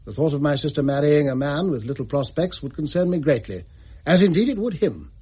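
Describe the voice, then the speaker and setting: Grave period gentleman for audio drama: husky, formal, measured delivery with lively pitch swings. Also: no room sound. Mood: grave, concerned. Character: grave upper-class gentleman brother recounting a dark legend.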